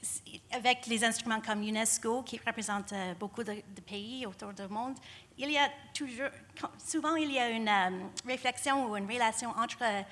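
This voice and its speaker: A woman from Canada whose first language is English, speaking on a panel with an English Canadian accent, halting and searching for words. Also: a little high, fairly bright tone, a little hiss or hum. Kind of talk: panel discussion.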